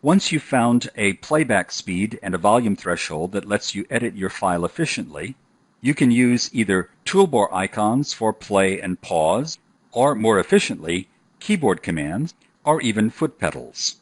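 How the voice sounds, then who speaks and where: A man narrating a scripted software tutorial in an instructional style. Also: lowish voice, very animated, unhurried, husky, no echo.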